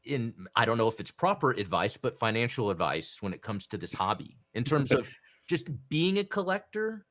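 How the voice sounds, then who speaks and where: A man's voice: mid-range voice, sing-song, normal speed, a bit bright. A man hosting a casual live-stream chat show, rambling through thoughts and greeting viewers in the chat by name. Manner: rambling, chatty, reads out chat names. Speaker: podcast host.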